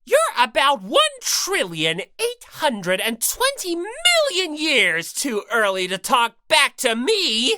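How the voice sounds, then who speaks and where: A woman's voice: high-pitched, huge pitch swings, takes it slowly, hardly any pauses, pushed and bright, no echo, clean studio sound, exaggerated voice. A woman voicing a gleefully cruel, bossy male robot bear mascot, taunting and theatrical, for an audiobook. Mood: scornful, bossy. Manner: theatrical, taunting, mock-polite then bellowing. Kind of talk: audiobook character voice.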